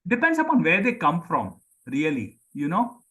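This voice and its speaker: A man's voice: on the high side, wildly swooping pitch, moderate pace, pushed and bright. A man, probably middle-aged, with an Indian accent and Sindhi as his mother tongue, teaching a language class online, repeating words and questioning pupils. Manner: repeats words for pupils, calls on them by name. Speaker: Hindi teacher. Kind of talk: live online group lesson, questioning pupils and explaining.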